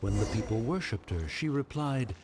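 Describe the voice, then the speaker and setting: A man's voice: medium pitch, expressive, moderate pace, noisy background, natural voice. A man playing a solemn storyteller who recites a grand fantasy scripture in a video game. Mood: solemn, reverent. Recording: clear background music.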